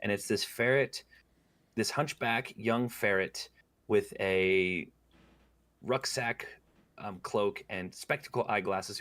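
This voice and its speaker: A man running a tabletop game, narrating scenes slowly, with frequent fillers. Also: on the low side, fairly bright tone, no room sound. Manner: slow descriptive narration with fillers. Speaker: game master.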